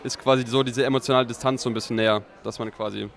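A man in his twenties, a recent film graduate, talking calmly and reflectively outdoors. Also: medium pitch, racing, creaky. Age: 20s. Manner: calm, reflective.

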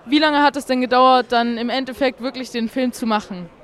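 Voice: high voice, steady tone, brisk, a bit bright. A host interviewing young filmmakers outdoors at a film festival, in slangy, enthusiastic casual talk. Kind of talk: casual vox-pop interview.

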